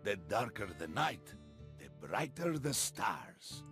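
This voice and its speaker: An actor playing a big, jolly, good-hearted game warrior who speaks hearty, simple broken English. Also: male voice, on the low side, sing-song, slow pace, a bit bright, exaggerated voice. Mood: confident cheer. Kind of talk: video game voice lines. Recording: game clips over background music.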